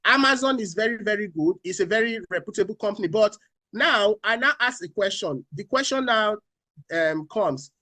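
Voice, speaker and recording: A man's voice: high voice, expressive, moderate pace, bright, forceful tone, no room sound. A man from Nigeria, speaking as a finance panelist on a talk show, repeating key phrases and asking rhetorical questions.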